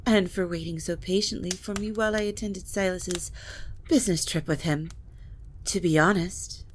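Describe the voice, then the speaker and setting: A woman playing a composed, regal vampire matriarch, speaking formally and with measured authority in an audio drama. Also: medium pitch, slight background noise, natural voice. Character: stately, composed vampire matriarch presiding over her family. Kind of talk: dramatic audio roleplay acting.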